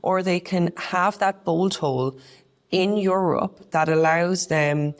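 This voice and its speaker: A woman's voice: on the low side, takes it slowly. An Irish woman co-hosting a podcast, talking in an earnest, conversational way. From Ireland. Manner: earnest, conversational, with frequent restarts. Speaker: podcast co-host. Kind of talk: casual podcast chat.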